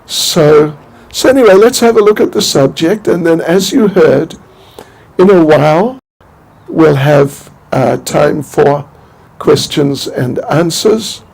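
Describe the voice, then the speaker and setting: An older man from New Zealand giving an informal spiritual talk, halting and rambling, with many restarts and small chuckles. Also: fairly high pitch, expressive, creaky, darkish tone, husky, low-quality recording. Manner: chuckles to himself. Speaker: spiritual teacher.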